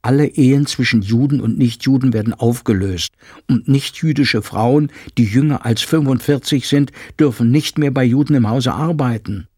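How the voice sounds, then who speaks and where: Man playing anxious housemaid woman, higher urgent voice, husky, dry audiobook sound. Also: normal speed, dark tone, dry recording, lightly acted. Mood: fearful, urgent. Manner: urgent, raised and fearful. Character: anxious housemaid woman aged thirty-eight. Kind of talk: audiobook character voice.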